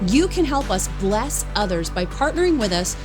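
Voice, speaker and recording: A woman's voice: mid-range voice, big pitch swings, moderate pace. A woman delivering a scripted promotional outro for a show in a friendly, inviting manner. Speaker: show host. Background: music behind the voice.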